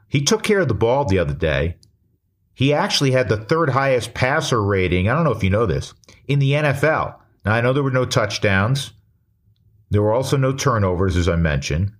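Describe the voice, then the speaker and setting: American guy doing sports radio opinion, lowish voice, measured but punchy, asks himself questions then answers. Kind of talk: opinion monologue on American football.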